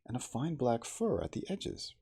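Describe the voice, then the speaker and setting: A man voicing an earnest, uneasy, inquisitive gentleman of science in a storybook, speaking formally and emphatically. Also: medium pitch, wildly swooping pitch, normal speed, creaky, husky, pitched above the performer's own voice, exaggerated voice. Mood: insistent. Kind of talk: storybook character dialogue.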